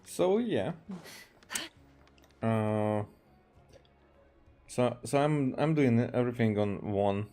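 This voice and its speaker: A man from Hungary with a Hungarian accent, casually streaming a game and talking haltingly with many fillers and restarts. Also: mid-range voice, lively intonation, dark tone, faint music behind. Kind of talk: casual livestream commentary, reading game dialogue aloud.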